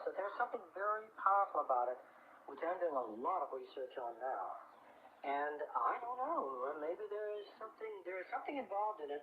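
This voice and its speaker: Man, radio call-in expert, slightly high pitch, deliberate explanations, phone-thin old broadcast sound. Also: narrow, tinny audio. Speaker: radio guest, crystal healing expert. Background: clear background noise.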